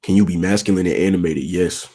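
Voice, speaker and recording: A young man hosting his channel, giving casual advice to viewers in a laid-back way, with a low, monotone, raspy voice. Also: fast. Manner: laid-back, peppered with "you feel me", talks with his hands. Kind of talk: casual advice talk answering viewer questions.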